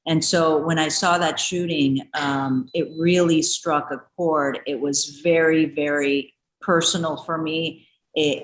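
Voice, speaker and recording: Low-voiced Ecuador-born woman, echoey room. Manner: earnest, with frequent restarts and fillers. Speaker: interviewee, former congresswoman. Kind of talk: news interview.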